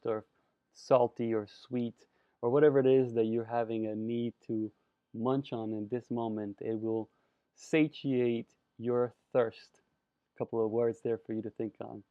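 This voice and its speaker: A man from Colombia giving a presentation lecture, expressive and savoring his words with drawn-out emphasis. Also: medium pitch, no echo. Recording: dry, phone-like narrow sound.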